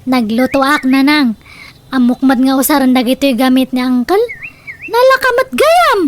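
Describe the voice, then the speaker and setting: A woman's voice: high voice, animated, normal speed. A woman playing a cheeky, carefree daughter in an Ilocano comedy radio drama, whiny and flippant in her replies. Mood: playful.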